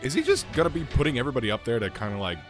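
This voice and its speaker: Guy streaming a game, chatting casually, super animated pitch, faint music behind. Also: rapid-fire. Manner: informal, chatty, reacting off the cuff. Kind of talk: casual live gameplay commentary.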